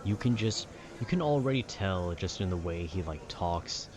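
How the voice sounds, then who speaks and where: A man's voice: sits low, lively intonation, normal speed. A young man rambling casually in a nerdy, slangy, meandering way, often trailing off and losing his thread. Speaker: audio content creator rambling to listeners. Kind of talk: casual rambling talk about video games. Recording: clear background noise, urban ambience.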